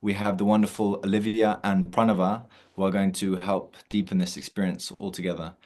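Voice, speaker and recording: Relaxed man hosting a video-call meditation. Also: low-pitched, a little fast. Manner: relaxed, many fillers and restarts. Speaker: meditation session host. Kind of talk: casual online session introduction.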